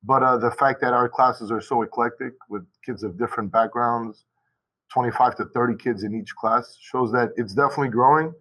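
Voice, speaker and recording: A man who teaches high school Portuguese, talking casually and at length on a video call from his school, with many restarts and asides. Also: fairly even intonation, fairly quick, fairly bright tone, low-quality recording.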